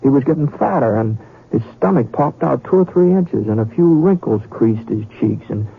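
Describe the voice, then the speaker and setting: Folksy Western storyteller, muffled radio. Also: male voice, mid-range voice, lively intonation, creaky, husky. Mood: folksy reminiscing. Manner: folksy, halting, conversational. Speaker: narrator. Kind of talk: old-time radio drama narration. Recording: muffled vintage radio, faint music.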